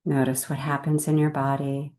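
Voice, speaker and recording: A woman leading a guided meditation in a gentle, unhurried way, with measured phrases and long pauses. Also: low voice, little pitch movement.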